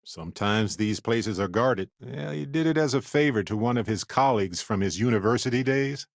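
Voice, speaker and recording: An actor playing a thoughtful, wry space explorer in a video game, speaking articulately and conversationally with dry wit. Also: male voice, mid-range voice, lively intonation, normal speed, creaky, dry recording. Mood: reflective. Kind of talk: scripted game dialogue lines.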